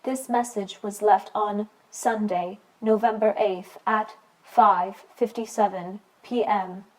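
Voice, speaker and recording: A woman's voice: medium pitch, fairly even intonation, slow, slight background noise, natural voice, poor audio. A woman voicing an impersonal answering machine announcer, formal and matter-of-fact, in a radio play. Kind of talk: scripted voicemail time announcements.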